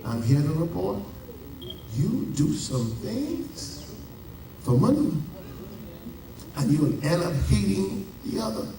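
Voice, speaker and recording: A Bahamian man preaching a sermon as pastor, with dramatic pauses and drawn-out emphasis. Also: a little high, very animated, gravelly, background noise. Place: large church. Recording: echoey church heard from a distance.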